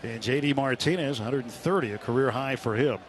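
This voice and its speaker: Male baseball announcer over clear ballpark noise. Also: mid-range voice, a little fast. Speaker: radio baseball play-by-play announcer. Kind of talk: live sports commentary. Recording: clear stadium noise behind live broadcast. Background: outdoor ballpark ambience.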